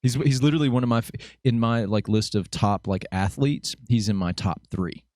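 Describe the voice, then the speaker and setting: A man's voice: mid-range voice, a little fast, darkish tone, dry recording, clean studio sound. A man hosting a casual podcast interview, chatty and joking. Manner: chatty, jokey, does playful voices. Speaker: podcast host.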